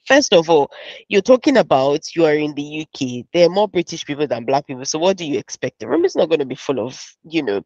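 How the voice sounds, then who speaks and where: A woman's voice: sits low, a little mellow, no echo. Brief: young Nigerian woman, Nigerian accent, candid panelist, dry muffled headset audio. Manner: candid, reflective, brisk asides. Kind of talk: webinar panel answer. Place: home office, virtual background. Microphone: headset mic on video call. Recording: dull dry video-call audio.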